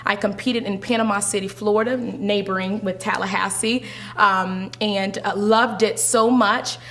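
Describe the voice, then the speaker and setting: A woman's voice: mid-range voice, fairly even intonation, moderate pace, fairly bright tone. A woman who is a pageant titleholder, chatting in a casual interview with lots of fillers and restarts. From Haitian descent.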